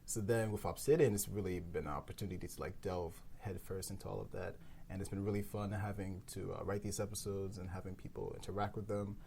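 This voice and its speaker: A woman's voice: a bass voice, steady tone, fast talker, vocal fry, mellow. A woman hosting a casual round table discussion as a podcast host and writer. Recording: faint background noise and room ambience.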